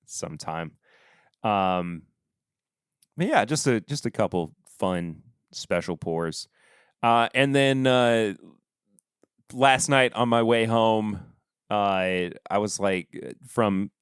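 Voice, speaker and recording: Stuffy-nosed man, slow casual podcast talk. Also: mid-range voice, clear, resonant tone, no echo, clean studio sound. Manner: relaxed, rambling, trailing off quietly. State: stuffy nose. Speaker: podcast host. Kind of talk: casual solo review chat.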